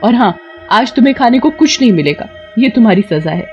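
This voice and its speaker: Stern mother, woman performer: sharp, scolding. Animated family drama over music. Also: fairly high pitch, a little fast, highs cut off, pitched above the performer's own voice, natural voice. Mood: angry.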